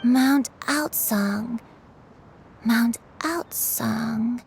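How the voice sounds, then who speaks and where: A woman playing a gentle, troubled old woman who has lost her memory in a video game, speaking haltingly and wistfully. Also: on the high side, a little hiss or hum. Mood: confused, distressed. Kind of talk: animated video game voice acting. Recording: soft music behind the voice.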